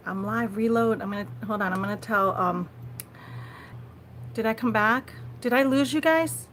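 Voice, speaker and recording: A woman hosting a casual live vlog, talking conversationally to viewers and often repeating her phrases, recorded on a phone. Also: on the high side. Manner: conversational, repeats phrases, frequent small laughs. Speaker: live-stream host. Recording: noisy phone recording of a livestream.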